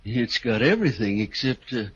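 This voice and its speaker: A man's voice: mid-range voice, expressive, close to an everyday voice. A man playing a hesitant, folksy house agent in a 1940s radio drama, on a muffled old recording. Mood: reticent. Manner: halting, drawn-out, reticent. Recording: muffled, faintly noisy vintage radio recording.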